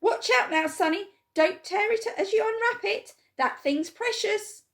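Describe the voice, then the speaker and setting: A woman voicing a fat, excitable male shopkeeper who shouts in a high, breathless voice. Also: a little slow. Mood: overjoyed shouting.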